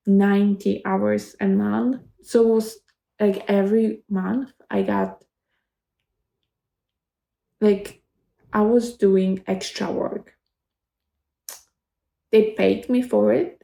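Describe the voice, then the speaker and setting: A woman's voice: mid-range voice, vocal fry, warm tone, echoey room. A woman in her twenties with a Polish accent, chatting casually to the camera and wandering from thought to thought with frequent restarts. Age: 20s. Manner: rambling, halting, many restarts. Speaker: vlogger.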